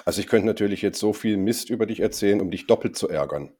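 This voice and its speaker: A man co-hosting a casual chat, his voice a little hoarse from a cold, teasing dryly and pausing with many ums. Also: on the low side, mellow. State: slightly hoarse, recovering from a cold. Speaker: podcast co-host.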